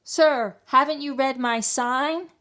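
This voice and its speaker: A woman's voice: high-pitched, takes it slowly, a bit bright, pitched above the performer's own voice. A woman voicing a small, polite male mouse dentist in a children's storybook, formal and insistent. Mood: shouting, firm. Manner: formal, insistent, calling out instructions. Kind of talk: children's storybook character reading.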